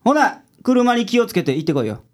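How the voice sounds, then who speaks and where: A woman playing a gruff, blunt male detective boss with a Kansai accent and a teasing streak in a radio drama. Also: fairly high pitch, sing-song, a little fast, heavy background noise. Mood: casual, approving. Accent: Kansai Japanese.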